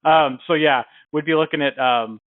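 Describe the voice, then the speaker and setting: A man's voice: a little high, moderate pace. A man in a casual business phone chat, speaking as a company representative in a friendly, informal, explanatory way with frequent chuckles. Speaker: company representative calling a prize winner. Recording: band-limited phone-like sound, roomy echo.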